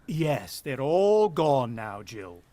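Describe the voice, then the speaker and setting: Actor as shaken family man, lively pitch. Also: no echo. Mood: shaken, protective. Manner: hesitant, halting when explaining himself. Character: shaken, earnest family man and farm hand, protective father. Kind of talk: radio drama acting and first-person narration.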